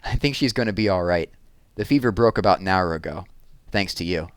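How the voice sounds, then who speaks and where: A man's voice: sits low, fairly quick, clear, resonant tone, no room sound, close to an everyday voice. A man voicing a proper, outspoken married woman in a storybook reading, emphatic and prim. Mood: grateful, emphatic.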